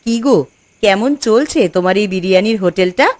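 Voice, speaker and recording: A woman's voice: medium pitch, brisk, darkish tone, slight background noise, lightly acted. A woman voicing a demanding, materialistic village wife in a cartoon, with a nagging, insistent manner. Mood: probing. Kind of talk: Bengali moral-story cartoon dubbing.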